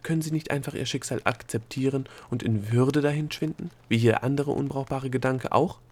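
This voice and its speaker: A man voicing a prim, bureaucratic female thought-creature in a storybook, with a haughty, formal and measured manner. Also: medium pitch, a little mellow, pitched above the performer's own voice, natural voice. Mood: cool disdain. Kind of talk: storybook character dialogue.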